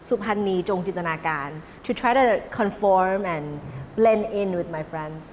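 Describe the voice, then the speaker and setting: A woman's voice: mid-range voice, rapid-fire. A young woman who grew up speaking Cantonese and now answers interview questions in English. She talks earnestly, repeating words as she gathers her thoughts. The recording has a long reverb tail and a faint hiss underneath. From born in Hong Kong, raised in Thailand. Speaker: guest.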